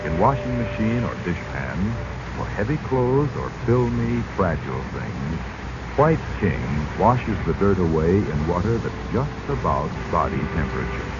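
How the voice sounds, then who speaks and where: A male radio announcer delivering a 1940s commercial, addressing listeners like a smooth salesman. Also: low voice, big pitch swings, unhurried, heavy background noise. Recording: dull 1940s radio recording. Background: music.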